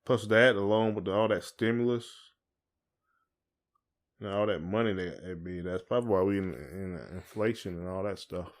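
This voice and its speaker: A man's voice: low voice, normal speed. An American man co-hosting a podcast in casual chat, with informal, rambling, colloquial phrasing. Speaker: podcast co-host.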